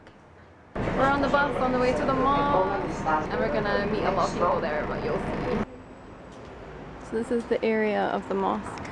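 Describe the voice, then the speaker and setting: A woman's voice: medium pitch, expressive, normal speed, very noisy. A woman speaking casually and informally as she vlogs on the go during an aid mission. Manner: informal, chatty. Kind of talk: casual handheld vlog commentary. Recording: loud music over on-location vlog audio.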